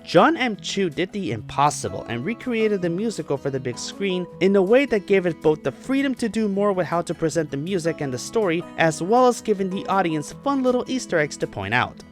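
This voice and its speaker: A man delivers an opinionated film review over music. His pitch sits a little high and moves in lively swings, and his wording is casual, emphatic and salty. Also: fairly quick, few pauses. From Puerto Rico. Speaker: movie reviewer. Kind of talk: scripted review narration, casual and profane. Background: music behind the voice.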